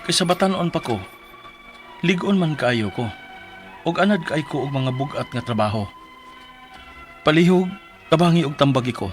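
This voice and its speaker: An actor with a Cebuano Filipino accent playing an ailing, plaintive forty-five-year-old laborer in a radio drama, pleading for advice. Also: male voice, on the high side, animated, normal speed, pauses often, faint music behind, natural voice. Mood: worried, earnest.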